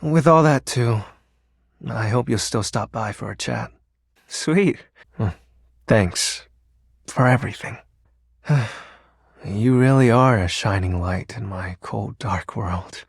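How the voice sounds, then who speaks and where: Shy young male game character, sentimental and earnest; slightly husky, unhurried delivery, dry studio sound. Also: lowish voice, no echo, exaggerated voice. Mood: hopeful, sentimental. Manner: hesitant, soft-spoken, earnest. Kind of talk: video game character voice acting.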